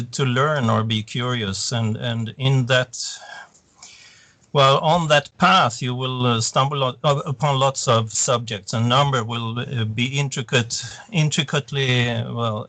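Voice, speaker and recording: A Swedish man on a video call, speaking halting English and searching for words. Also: mid-range voice, pushed and bright, no echo. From Sweden. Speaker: guest, former teacher.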